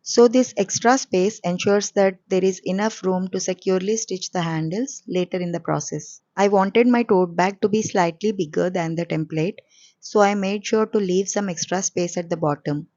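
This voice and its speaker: A woman hosting a sewing channel, explaining each step calmly in a voice-over, her voice coarse and hoarse from a cold. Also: medium pitch, moderate pace, no echo, lo-fi sound.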